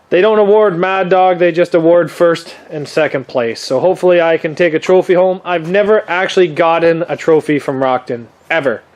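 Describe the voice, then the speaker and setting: A young man with a noticeably high voice talks casually. He is stuffy and sniffling from a cold. His speech rambles along, full of easygoing fillers. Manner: laid-back, rambling, frequent "uh" fillers. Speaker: demolition derby builder and vlogger. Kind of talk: casual vlog chat. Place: home workshop or garage.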